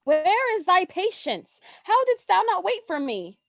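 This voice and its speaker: Lady playing cheeky bawdy teen girl, high voice, hammy olde english, dry dull audio. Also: big pitch swings, measured pace, creaky, a bit bright, dry recording, full character voice. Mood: dramatic complaint. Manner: playful, theatrical archaic diction. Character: cheeky, bawdy, brash teenage girl friend. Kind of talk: mock-Shakespearean staged reading.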